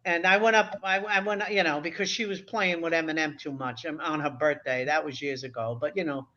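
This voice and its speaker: A bright, forceful woman in her seventies speaks with quick emphasis on a casual video chat panel. Her voice comes through a dull, lo-fi connection and carries a chatty, animated manner. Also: low voice, slight background noise. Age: 70s. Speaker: panel guest. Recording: dull lo-fi sound.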